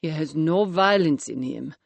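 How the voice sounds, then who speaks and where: A woman voicing a guarded young male trainee chef with an Eastern European accent, speaking in low, terse, broken English. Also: expressive, measured pace, gravelly, no room sound, exaggerated voice.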